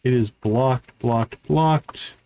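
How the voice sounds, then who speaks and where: A man's voice: medium pitch, drawn-out words, mellow, a little hiss or hum. A man giving casual live gameplay commentary, easygoing and explanatory as he chats with his viewers. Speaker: live game streamer.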